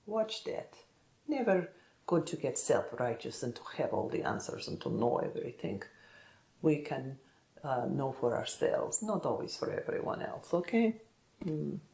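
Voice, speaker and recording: A woman giving a soft-spoken ASMR-style tarot reading in a gentle, reassuring, musing manner, often pausing to check in with the listener. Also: very deep voice, very animated, vocal fry, reverberant. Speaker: tarot reader.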